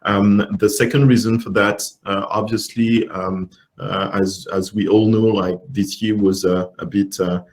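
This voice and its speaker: A man answering as a panelist in a business webinar, halting and full of hesitations. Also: on the low side, little pitch movement. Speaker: country manager, panelist.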